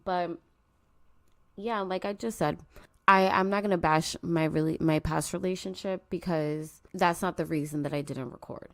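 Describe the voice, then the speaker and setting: Earnest young woman, stuffy, lower voice. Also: fairly even intonation, normal speed, no echo. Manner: earnest, confiding, speaks straight to listeners. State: stuffy from allergies. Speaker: podcast host. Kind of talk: personal solo podcast talk. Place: at home.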